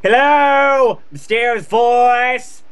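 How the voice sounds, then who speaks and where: A man doing a brash, shouty young hero. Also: very high-pitched, drawn-out words, smooth, voice pushed up, full character voice. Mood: loud calling out. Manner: slangy, swearing, loud. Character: brash, foul-mouthed young fanfic hero shouting at monsters.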